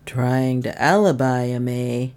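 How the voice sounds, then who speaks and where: Low voice, slow delivery, gruff gloating policeman, played by a woman, badgering, sarcastic, detective story. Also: a little mellow, full character voice. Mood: sneering.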